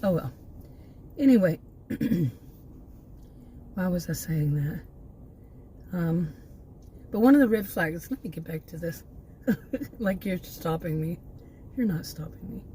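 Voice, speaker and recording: Woman, sixties, slightly hoarse, low voice, warm and mellow, rambling monologue, keeps restarting sentences. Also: lively intonation, slow, long pauses, slight background noise. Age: 60s. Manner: rambling, often stops to restart and lose her thread. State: losing her voice. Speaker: channel host.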